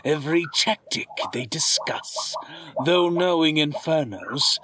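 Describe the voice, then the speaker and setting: A man playing a scheming, amused villain lord in a fantasy audio drama, speaking in a measured, gloating way. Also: a little high, lively intonation, background noise.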